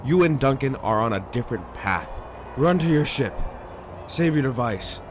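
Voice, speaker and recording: An actor playing a calm, solemn adult male monk giving urgent orders in a fan audio drama. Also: medium pitch, normal speed, background noise, close to an everyday voice.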